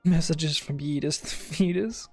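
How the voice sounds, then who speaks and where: A woman's voice: sits low, unhurried, dark tone, soft background music. A woman giving casual commentary while playing a video game, sometimes reading game text aloud.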